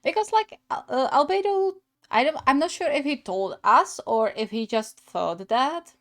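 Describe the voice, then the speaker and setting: A woman's voice: high voice, expressive, normal speed. A woman giving casual reaction commentary as host of a gaming video, thinking aloud hesitantly.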